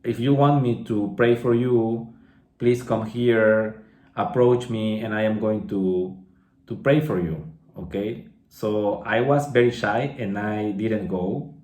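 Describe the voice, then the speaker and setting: A Peruvian man in his thirties, a native Spanish speaker, telling a personal testimony in English at a slow, clear pace and often restating his words with "okay?" and "right?". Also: on the low side, steady tone, darkish tone, roomy sound. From Peru. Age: 30s. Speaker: English teacher sharing a testimony.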